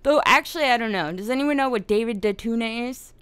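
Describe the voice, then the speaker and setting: A woman's voice: on the high side, fast talker, a bit bright, smooth, dry recording. A woman hosting a casual live-streamed discussion, swearing often and laughing as she tells the story. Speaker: podcast host.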